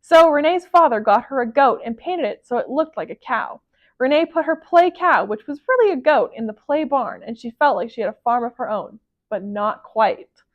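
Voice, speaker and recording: A woman reading a children's picture book aloud as a library storyteller, expressive and emphatic. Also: on the high side, moderate pace, narrow, tinny audio.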